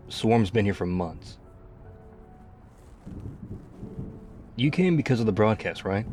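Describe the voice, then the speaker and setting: An actor playing a tough, weary, loyal zombie apocalypse survivor in an intimate ASMR roleplay, speaking conversationally. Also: male voice, on the low side, darkish tone, natural voice. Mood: guarded.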